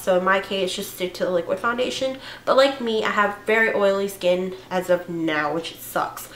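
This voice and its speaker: Hoarse young woman chatting about makeup. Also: medium pitch, a bit bright, roomy sound, a little hiss or hum. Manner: chatty, rambling, with fillers and self-corrections. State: hoarse from a cold. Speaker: beauty vlogger. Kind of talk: casual makeup tutorial chat.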